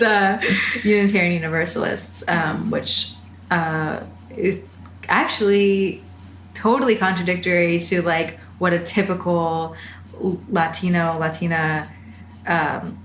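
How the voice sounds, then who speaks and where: A young woman with a lowish voice hosts a casual podcast chat. She rambles and restarts her sentences, filling gaps with "like." The room is echoey and carries a faint hiss. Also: fairly bright tone. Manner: rambling, many fillers and restarts. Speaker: podcast host.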